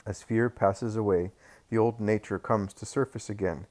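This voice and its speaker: A man voicing a calm, gentle male teacher in a scripture story. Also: on the low side, normal speed, close to an everyday voice.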